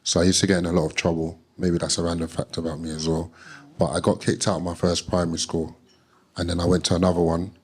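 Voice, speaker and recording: A young man, a panelist calmly telling how he got started. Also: deep voice, quick pace, husky. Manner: calm, matter-of-fact storytelling. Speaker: panelist, trading academy founder.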